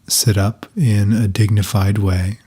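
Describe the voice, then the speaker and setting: Male meditation guide: lowish, husky and breathy, calm and soft, long pauses between phrases.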